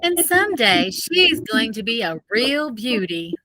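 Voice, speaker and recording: High, bright woman acting out storybook dialogue. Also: big pitch swings, a little slow. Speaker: reader of character dialogue. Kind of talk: acted storybook dialogue for children.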